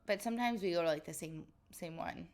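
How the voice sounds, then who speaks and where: A woman co-hosting a casual podcast with a stuffy, nasal, raspy voice from a cold, chatting in a bubbly way and tripping over her words. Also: lowish voice, a little fast. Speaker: podcast co-host. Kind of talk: casual chat.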